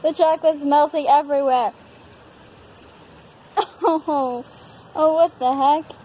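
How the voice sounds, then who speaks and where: A woman chatting casually for her vlog, giggling and complaining in quick exclamations. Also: high-pitched, fairly even intonation, warm tone, low-quality recording.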